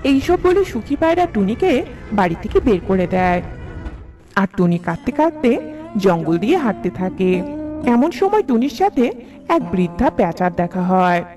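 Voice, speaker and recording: A woman narrates a children's tale with very lively intonation at a medium pitch. Music plays clearly behind her scripted delivery. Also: normal speed.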